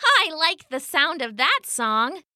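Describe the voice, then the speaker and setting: Lady doing a chirpy mama otter for a kids book, way up high, super swoopy and friendly. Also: sing-song, slow, bright, forceful tone, no room sound, studio quality, full character voice. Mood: chirpy, hopeful. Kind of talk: children's storybook character voice.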